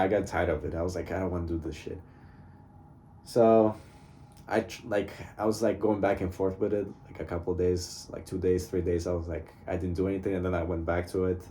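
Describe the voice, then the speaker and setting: A man's voice: sits low, dark tone, a little hiss or hum. A young man talking casually about his own life, rambling with lots of fillers and laughing at himself. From grew up in Russia from childhood. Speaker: vlogger. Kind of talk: casual personal monologue.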